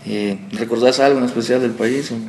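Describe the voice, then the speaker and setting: A man's voice: medium pitch, fairly even intonation, a little fast, a little mellow, slight background noise. Salvadoran man asking casual interview questions. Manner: casual, friendly questions with short backchannels. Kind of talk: conversational interview.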